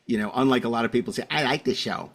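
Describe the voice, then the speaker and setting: A man's voice: mid-range voice, very fast, creaky, fairly bright tone. Male podcast host, mid-range voice, casual and discursive, prone to self-correction.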